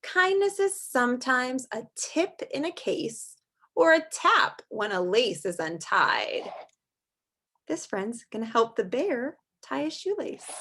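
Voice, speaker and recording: Female teacher, slightly high voice, expressive read-aloud for kids with plain-worded asides, noisy amateur recording. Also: slow. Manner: expressive, speaking simply to young children. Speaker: teacher reading aloud to children. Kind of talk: picture-book read-aloud with chatty asides.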